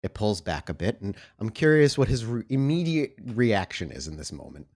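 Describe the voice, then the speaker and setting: A man as game master, giving atmospheric tabletop game narration with dramatic, deliberate phrasing. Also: lowish voice, sing-song, a little mellow. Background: music.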